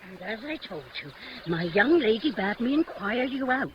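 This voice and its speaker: An actress playing a garrulous, fussy old nurse in a 1950s radio Shakespeare play, chattering and exclaiming. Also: female voice, fairly high pitch, very animated, moderate pace. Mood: excited. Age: older. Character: garrulous, bawdy-minded old female nurse, fussy and loyal. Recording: dull, noisy old radio recording.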